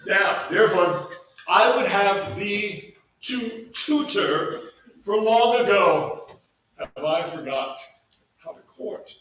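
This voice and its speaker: A man acting an aging duke, high-pitched and theatrical, echoey hall. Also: expressive, fairly bright tone, full character voice. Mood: coy, pleading. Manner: theatrical, halting, deliberately drawn-out delivery. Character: aging, scheming duke and exasperated father. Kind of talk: staged reading of Shakespeare. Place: staged reading in a large echoey room. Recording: echoey hall from far off, faint noise.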